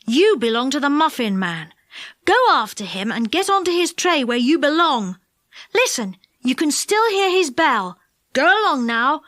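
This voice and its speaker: A woman's voice: high-pitched, sing-song, a little slow, a bit bright, no room sound, clean studio sound, voice pushed up, exaggerated voice. A woman voicing a sensible, bossy boy giving firm instructions in a storybook reading. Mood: commanding.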